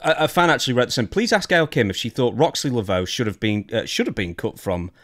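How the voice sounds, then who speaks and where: A man's voice: mid-range voice, lively intonation, fast talker, a bit bright, slight background noise. A man co-hosting a podcast, putting questions to a guest in a casual, chatty style. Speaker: podcast co-host asking questions. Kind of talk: casual interview chat.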